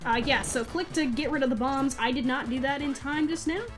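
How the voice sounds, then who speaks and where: High-voiced woman doing chatty game commentary. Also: a little fast. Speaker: let's play host. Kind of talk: casual gameplay commentary. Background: video game music.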